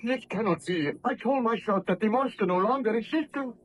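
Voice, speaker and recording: An actor playing a tormented, fearful scientist in an old radio horror drama, speaking in a formal, portentous and theatrical way. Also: male voice, high-pitched, moderate pace, a bit bright, a little hiss or hum. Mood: dread, alarm.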